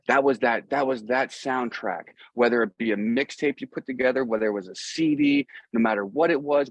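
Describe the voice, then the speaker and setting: A man's voice: medium pitch, no echo, low-quality recording. Earnest American man chatting, close dry sound. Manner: earnest, halting, repeats himself. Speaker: musician being interviewed. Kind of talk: casual, rambling conversation about a music career.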